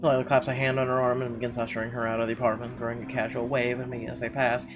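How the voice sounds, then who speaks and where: A man's voice: mid-range voice, level tone, fast. A man playing a visual novel, reading its text aloud expressively with casual commentary. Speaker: let's player reading game text aloud. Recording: guitar game music behind the voice. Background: guitar game music.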